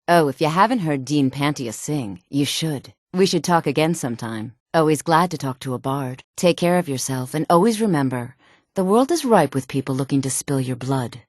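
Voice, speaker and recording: An actress playing a sultry, self-assured woman in a fantasy video game, speaking in a poised, measured and haughty manner. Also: low voice, darkish tone, dry recording, studio quality. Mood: cool, courteous. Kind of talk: video game character dialogue.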